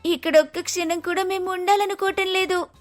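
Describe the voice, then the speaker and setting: A woman's voice: extremely high pitch, steady tone, a little fast, soft background music. A woman playing a bold, scheming young daughter-in-law in a cartoon moral story, insistent and dramatic. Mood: feigned panic.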